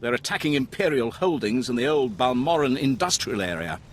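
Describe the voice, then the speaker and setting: An actor playing a brash, gung-ho Imperial lieutenant in a video game, raspy and cocky with theatrical emphasis. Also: male voice, mid-range voice, lively intonation, a little fast, a little hiss or hum, natural voice. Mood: gung-ho, bloodthirsty. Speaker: military officer character.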